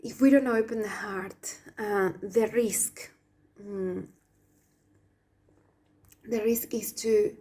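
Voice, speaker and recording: A woman from Argentina with an Argentine Spanish accent, a Spanish native speaker giving a spiritual message to viewers, speaking softly and dropping into whispers on some words. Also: mid-range voice, steady tone. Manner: slow, soft, drops to whispers on some words. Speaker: spiritual channel.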